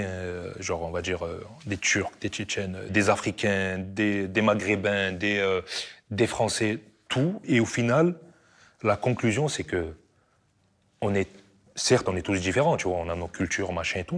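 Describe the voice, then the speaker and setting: A man's voice: sits low, normal speed. A man talking about an old friend in a casual interview, chatty and full of fillers. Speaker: childhood friend.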